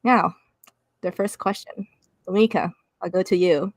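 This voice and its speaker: A woman from Canada hosting a live-streamed panel discussion, casual and halting with frequent fillers, speaking over a video call. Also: fairly low pitch, fairly even intonation, no room sound. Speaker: host.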